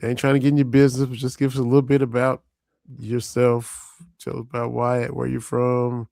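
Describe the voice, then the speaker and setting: A man with a hoarse, gravelly voice hosts a casual sports podcast. He rambles, repeats himself and talks loosely, recorded dry and close. His pitch sits in the middle range. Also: completely flat delivery, brisk, a little mellow, no echo. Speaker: podcast host.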